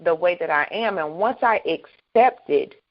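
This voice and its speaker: A woman hosting a faith talk radio show, loud and booming, bold and animated, laughing often. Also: measured pace. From Southern United States. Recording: dull lo-fi sound.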